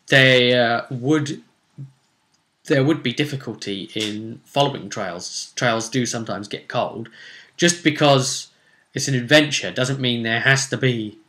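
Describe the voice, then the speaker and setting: A man's voice: mid-range voice, fairly bright tone. A man recounting a tabletop roleplay session as game master, storytelling and musing aloud with rhetorical questions. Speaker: game master recapping a campaign.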